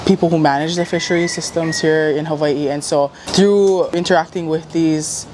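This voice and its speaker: Even-toned woman explaining casually. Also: low-pitched, moderate pace, background noise.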